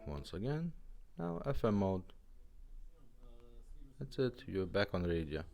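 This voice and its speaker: A man with a low voice walks through a product demonstration in an informal, halting way. Music plays in the background as he talks. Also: big pitch swings, gravelly, a little mellow.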